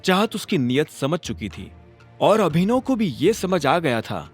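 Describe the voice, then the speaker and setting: A male narrator tells a scripted drama. His voice is on the high side and very expressive in pitch, with music playing behind it. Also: unhurried, slightly rough. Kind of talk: dramatic scripted Hindi audio story narration.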